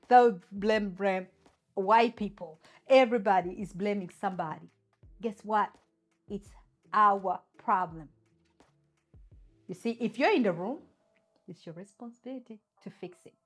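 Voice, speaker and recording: A woman from Uganda hosts her own channel and speaks straight to camera, making emphatic points and asking many rhetorical questions. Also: medium pitch. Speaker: channel host. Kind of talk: opinion talk to camera.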